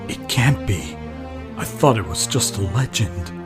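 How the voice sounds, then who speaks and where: A man's voice: fairly low pitch, big pitch swings, a little slow, music in the background. A man playing an earnest, awestruck villager and father in an audio drama. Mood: startled awe.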